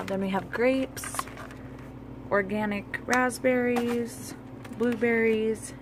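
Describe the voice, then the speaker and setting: A woman's voice: lowish voice, background noise. A woman vlogger chatting casually at home, listing groceries with lots of fillers and filming on a handheld phone. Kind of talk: casual grocery haul show-and-tell. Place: home kitchen and dining room, indoors.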